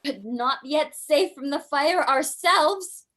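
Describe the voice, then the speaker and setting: A woman's voice: high-pitched, takes it slowly, pushed and bright, full character voice. A woman playing a frightened, devoted young daughter, breathless and anxious, in an old time radio horror play.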